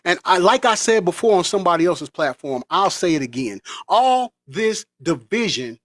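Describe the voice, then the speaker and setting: A man's voice: high-pitched, very animated, moderate pace, a bit bright, dry recording. An American man delivering an impassioned, preachy monologue as a vlogger. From United States.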